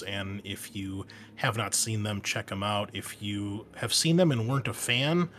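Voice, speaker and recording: A man's voice: lowish voice, sharp tone, slight background noise. A man speaking as a podcast host and game master, giving a casual introduction to his channel. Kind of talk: casual scripted-outline introduction to a gaming channel.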